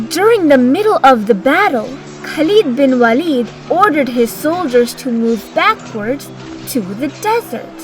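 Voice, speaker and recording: A woman with a very high voice narrates a children's story. She reads slowly and deliberately, in simple measured phrases. Soft music plays underneath. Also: low-quality recording. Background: background music.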